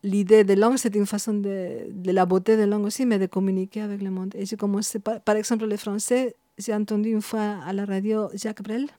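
A woman in her fifties from Spain, speaking with a Spanish accent, reflective and halting, with many restarts. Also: mid-range voice, level tone, dark tone, dry recording. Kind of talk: reflective interview talk.

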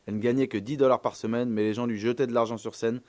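Fast-talking actor as a male eyewitness. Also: mid-range voice, fairly even intonation, a little mellow, lightly acted. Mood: matter-of-fact recollection. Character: male eyewitness recalling a young stage singer. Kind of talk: acted testimony in a radio documentary.

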